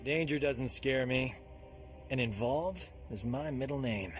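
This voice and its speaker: A man's voice: mid-range voice, a little slow, music in the background, close to an everyday voice. Cocky combat medic sidekick, voiced by a man. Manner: breezy, self-assured, colloquial. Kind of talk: video game voice acting.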